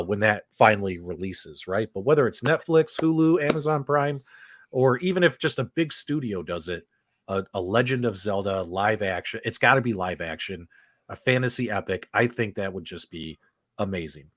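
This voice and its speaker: Excitable male co-host, dry close sound. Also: no room sound. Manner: enthusiastic, animated swings in pitch. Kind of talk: casual panel chat.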